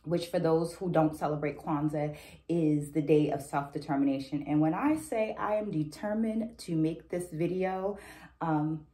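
A middle-aged woman with a fairly low voice presents a book discussion to camera. She speaks as an educator would, articulate and deliberate, laying out her points step by step. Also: dark tone. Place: small indoor room at home. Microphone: phone camera a short distance away.

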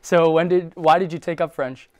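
Young man, sports show interviewer, polished questions, higher voice, dry close studio sound. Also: steady tone, moderate pace, no echo. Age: young adult. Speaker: student sports show host.

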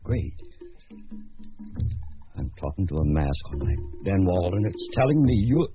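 Casting: frazzled middle-aged dad, sputtering and skeptical, lively swings in pitch, radio play. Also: male voice, a little slow. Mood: flustered, disbelieving. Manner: flustered, sputtering. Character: frazzled, hard-drinking, skeptical family father. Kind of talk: radio drama acting. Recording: muffled sound with music behind.

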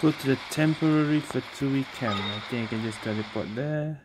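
Very low-voiced woman casually playing a game. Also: moderate pace, very noisy. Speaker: game streamer. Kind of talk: casual playthrough commentary.

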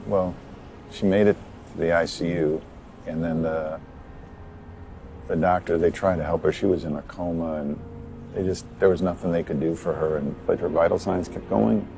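A man in his forties playing a grieving widower on a city rooftop, talking haltingly with many pauses. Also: low voice, steady tone, creaky, slight background noise. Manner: hesitant, halting, soft-spoken with many pauses. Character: grieving middle-aged widower, gentle and soft-spoken. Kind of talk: film drama scene with outtakes.

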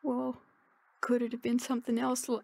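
A worried woman looking for answers, radio drama, halting, voice a bit high. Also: monotone, creaky, a little mellow. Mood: worried, tentative. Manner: halting, tentative. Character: anxious, earnest female relative seeking answers.

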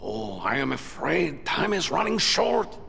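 A man voicing a courtly, theatrical enchanted candlestick in a cartoonish game, dramatic and drawn-out. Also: a little high, very animated, vocal fry, bright, forceful tone, exaggerated voice. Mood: solemn. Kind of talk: cartoon-style game acting. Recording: reverberant hall, faint background noise.

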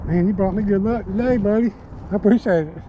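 A man's voice: high-pitched, fast talker. A man hosting a casual fishing video, chatting easily on a pond boardwalk, recorded on a head-mounted camera. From South Carolina. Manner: easygoing, chatty. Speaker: fishing channel host. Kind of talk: casual chat while fishing. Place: outdoor pond bank and wooden boardwalk. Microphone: head-mounted action camera. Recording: dull outdoor audio with loud ambient noise.

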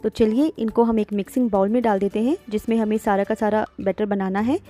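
Mellow-voiced woman hosting a home cooking lesson. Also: mid-range voice, fairly even intonation, a little fast, faint music behind. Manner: explanatory, guides viewer step by step. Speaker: cooking channel host. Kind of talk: cooking tutorial narration. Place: home kitchen.

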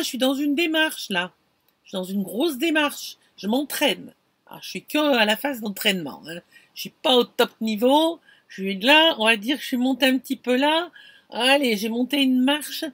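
A middle-aged woman vlogging from inside a camper van, telling an anecdote in an animated, expressive way and talking straight to the viewer. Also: sits high, breathy edge, no room sound. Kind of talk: casual storytelling to camera. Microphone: camera close in front.

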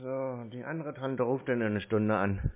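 A man's voice: medium pitch, normal speed. A man chatting casually on the phone in a hesitant, rambling, naively helpful manner. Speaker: prank caller answering scam calls. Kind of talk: casual phone conversation, playing a naive customer. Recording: tinny phone-like audio with faint noise.

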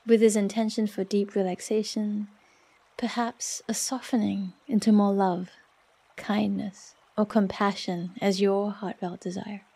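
Slow, mellow woman guiding a relaxation meditation. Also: mid-range voice, level tone, creaky, breathy edge. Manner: soft, soothing, unhurried with long pauses. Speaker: yoga nidra meditation guide.

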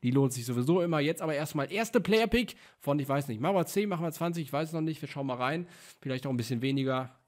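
A man's voice: a little high, expressive, quick pace. A young man, slightly sick, chatting casually and upbeat as a gaming video host, with frequent fillers, recorded at his desk indoors. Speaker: gaming YouTuber. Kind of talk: casual gameplay commentary.

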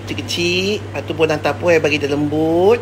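A man's voice: a little high, fairly even intonation, moderate pace. A man, chatting casually through a home cooking vlog and rambling off to the viewers. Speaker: home cook vlogger. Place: home kitchen. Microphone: phone camera held while cooking. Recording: noisy home phone recording.

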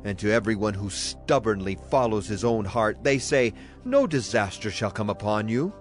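A man's voice: on the low side, lively intonation, moderate pace. A man gives a solemn, declamatory dramatized reading of scripture as a Bible narrator. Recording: clear music behind the voice. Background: music.

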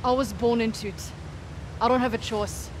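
A woman playing a tough, proud, guarded mercenary leader in a video game, with a South African accent and terse, deadpan delivery. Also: fairly high pitch, moderate pace, close to an everyday voice. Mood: dry, guarded. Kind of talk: naturalistic video game acting. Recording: game ambience and engine noise.

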